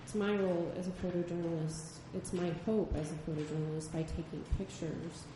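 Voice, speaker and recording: A soft-spoken woman photojournalist answering a panel question, hesitant and full of ums. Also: on the low side, fairly even intonation, a little fast. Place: gallery event space. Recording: echoey room, far mic, background noise.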